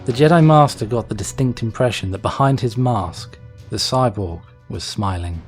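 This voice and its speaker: A man's voice: medium pitch, normal speed, soft background music. A man reading an action story aloud as narrator, in a dramatic scripted style. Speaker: fan fiction reader, narrator.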